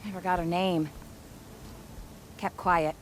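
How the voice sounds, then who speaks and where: A woman's voice: lowish voice, level tone, slow, slight background noise. An actress playing a blunt, worried woman from a caravan in a video game, scolding in a plain tone.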